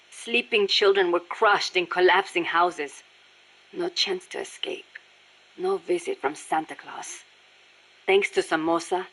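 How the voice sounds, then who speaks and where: A woman's voice: lowish voice, fairly bright tone, breathy edge, poor audio. A woman voicing a passionate, embittered Nicaraguan Sandinista guerrilla with a Spanish accent in a video game, speaking in a solemn, earnest way. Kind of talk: scripted video game voice acting.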